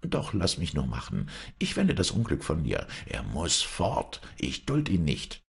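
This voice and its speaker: Man as indignant squire's wife, storybook character, screechy, scolding, bossy, raised pitch, gravelly edge. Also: sing-song, a little slow, heavily acted. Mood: screeching outrage. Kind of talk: dramatized storybook reading.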